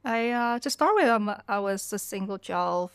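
A woman's voice: mid-range voice, expressive, normal speed, smooth, dry recording. A woman in her thirties from Vietnam, speaking English as a podcast guest, reflecting loosely on her memories. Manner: reflective, talks through memories with loose phrasing. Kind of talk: casual conversational storytelling.

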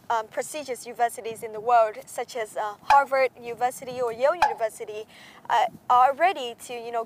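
A young woman reporter leads a campus tour, chatty and upbeat. Her voice sits fairly high, and she fills pauses with soft ums as she asks questions. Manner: upbeat, frequent um fillers. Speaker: field reporter. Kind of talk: hosted campus tour interview.

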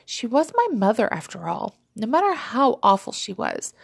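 A woman's voice: a little high, sing-song, moderate pace, gravelly, breathy edge, pitched above the performer's own voice, heavily acted. A woman voicing a wry, forgiving middle-aged mother in an audiobook story, dry and matter-of-fact. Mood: resigned, matter-of-fact.

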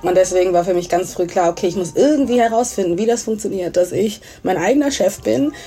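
A woman of thirty from Germany talks as an interview guest in a loose, chatty way. Her pitch is average, she drops English terms into her sentences, and music plays faintly behind her. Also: fast, warm tone. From Germany, grew up in Essen. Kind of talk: casual conversational interview.

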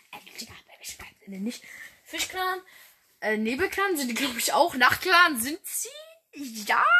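A woman's voice: sits high, sharp tone. A young woman hosting her own fan podcast, rambling casually with lots of fillers and slang, her nose stuffed up from a cold. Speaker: podcast host.

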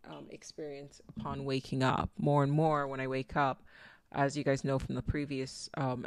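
A woman's voice: deep voice, darkish tone, no room sound, clean studio sound. A woman with a Nigerian accent hosting a solo podcast, musing reflectively and meandering as she talks. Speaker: podcast host. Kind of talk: casual solo podcast monologue.

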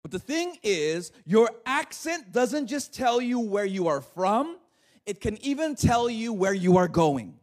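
High-voiced pastor, chatty and laughing. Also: male voice, big pitch swings, unhurried, a little mellow. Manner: conversational, asks "right?" often, laughs easily. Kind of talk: livestreamed sermon.

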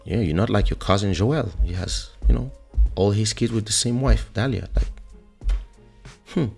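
A man's voice: lowish voice, moderate pace, gravelly, a little mellow, slightly rough, soft background music. A man who grew up in France, speaking as he hosts a casual, opinionated monologue to viewers, emphatic and repetitive, with rhetorical questions. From born in Africa, grew up in France. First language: French. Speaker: online show host.

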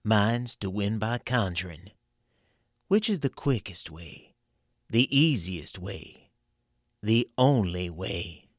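A man's voice: on the low side, animated, takes it slowly, long pauses, no echo, clean studio sound. A man voicing a scheming backwoods conjurer with a wheedling rustic drawl, read as a story. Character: scheming backwoods male conjurer, sly and coaxing. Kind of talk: audiobook character voice.

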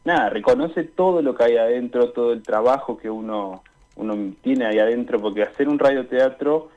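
A man's voice: medium pitch, moderate pace. A young Argentine man giving casual, hesitant interview answers as a guest calling in to a radio show. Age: young adult. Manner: hesitant, searching for words. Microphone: remote call to a radio show. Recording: dull, lo-fi call audio.

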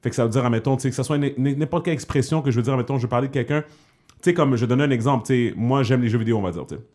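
A man's voice: mid-range voice, racing. A man with a Quebec French accent hosting a casual podcast, chatting loosely with fillers and English phrases mixed in. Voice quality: relaxed, many fillers, slips into English phrases. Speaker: podcast host.

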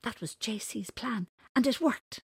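A woman's voice: a little high, a little slow, vocal fry, slightly rough, no room sound, studio quality, voice pushed up, full character voice. A woman voicing shy, earnest little burrowing rabbits in a storybook. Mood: shy, proud. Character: shy, hardworking chorus of small rabbits.

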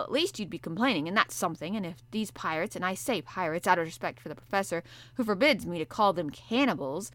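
A woman voicing a blustering, hungry male harpooner who talks loud and gruff in an audiobook. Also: medium pitch, no room sound, close to an everyday voice. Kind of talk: audiobook character voice.

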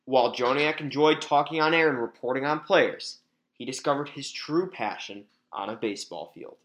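Man, American accent, mid-range pitch, scripted feature narration, podcast narrator. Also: expressive, moderate pace, fairly bright tone.

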